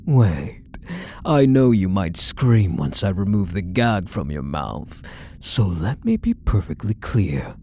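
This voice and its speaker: A man playing a possessive, controlling kidnapper husband in an ASMR roleplay, deliberate and menacing, with condescending endearments. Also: on the low side, darkish tone, a little hiss or hum, exaggerated voice. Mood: controlled, threatening. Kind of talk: scripted ASMR roleplay, addressing the listener.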